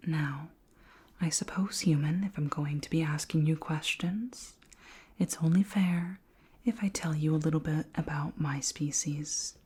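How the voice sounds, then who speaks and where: A woman's voice: sits low, full character voice. Woman playing a whispering, curious alien queen. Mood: confiding, playful. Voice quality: soft, breathy whisper. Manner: slow, formal, deliberate questioning. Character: curious, polite, imperious female alien queen. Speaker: ASMR roleplay performer. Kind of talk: whispered ASMR roleplay.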